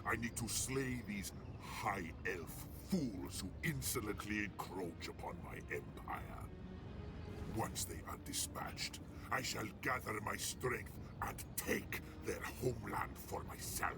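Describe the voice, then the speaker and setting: An actor playing a grandiose, menacing undead vampire pirate lord in a video game, speaking in an imperious, theatrical manner. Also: male voice, fairly low pitch, lively intonation, slow pace, vocal fry, music in the background, full character voice. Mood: imperious menace.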